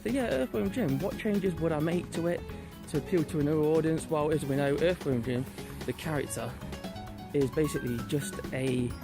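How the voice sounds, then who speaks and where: A man's voice: a little high, moderate pace, music in the background. A man in his thirties chatting casually outdoors, often repeating and correcting himself, filmed at arm's length. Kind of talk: casual chat. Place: outdoors on a residential street. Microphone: phone or camera held at arm's length.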